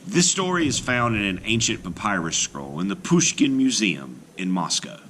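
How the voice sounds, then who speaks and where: Male teacher-narrator, animated crisp bright read, measured storytelling for children. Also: on the low side, a little hiss or hum, rough recording. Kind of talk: scripted read-aloud narration.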